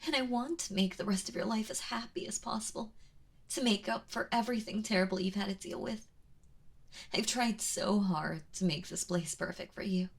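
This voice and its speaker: A woman acting as a soft-spoken yandere woman with a breathy, slightly creaky voice. She is tender and anxiously possessive, pleading haltingly and slipping toward a whisper, as in an intimate ASMR roleplay. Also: medium pitch. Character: kind, obsessive yandere woman, lovesick and anxiously possessive captor.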